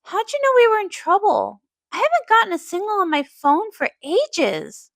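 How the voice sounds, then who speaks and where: A woman voicing a warm-hearted, emotional young female pony in a cartoon comic dub, expressive and casual. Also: extremely high pitch, normal speed, vocal fry, dry recording, studio quality, heavily acted. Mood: relieved, surprised. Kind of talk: animated comic dub voice acting.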